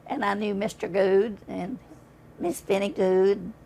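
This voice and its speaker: An elderly woman recalls the old days in short, plain answers. Her voice is gravelly with a crackly fry. She speaks very slowly and without hurry. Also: mid-range voice, fairly even intonation, warm tone. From Holland, Arkansas. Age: 80s. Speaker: interviewee, local elder. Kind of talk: reminiscing in an interview.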